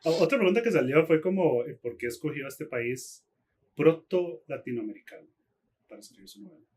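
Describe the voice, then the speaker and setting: A man with a Costa Rican accent hosting a podcast interview in a relaxed, joking way. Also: on the high side, fairly quick. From Costa Rica. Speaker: podcast host. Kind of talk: casual interview conversation.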